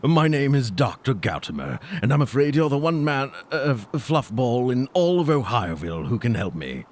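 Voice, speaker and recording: A man's voice: medium pitch, very animated, normal speed, lightly acted. A man playing an anxious, earnest family doctor with formal, old-fashioned, dramatic speech in a comic audio drama. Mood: fearful, urgent.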